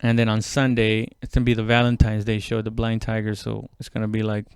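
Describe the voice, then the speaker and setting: Comedian guy just rambling on his podcast, clear voice, dry sound, stop-start and sweary. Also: on the low side, monotone, no echo. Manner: informal, halting, heavy swearing. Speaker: stand-up comedian hosting own podcast. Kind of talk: casual rambling solo chat.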